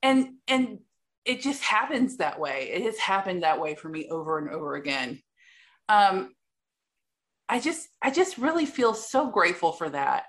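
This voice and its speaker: A woman's voice: mid-range voice, very animated, normal speed, fairly bright tone. Blunt woman w a big voice, candid share on a video call, talks straight and swears. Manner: direct, blunt, swears freely. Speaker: support group member sharing. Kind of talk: candid personal share in a group meeting.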